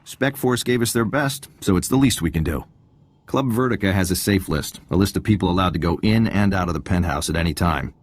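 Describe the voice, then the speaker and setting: An actor playing a confident, wry military intelligence agent giving a mission briefing in a video game. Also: male voice, low voice, fairly quick. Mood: assured briefing. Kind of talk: video game cutscene dialogue.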